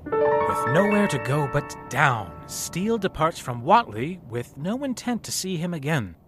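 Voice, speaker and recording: An actor playing a grandiose, pompous narrator of a noir radio drama, dramatic and fond of florid wordplay. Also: male voice, fairly high pitch, big pitch swings, measured pace. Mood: ominous, arch. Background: loud music.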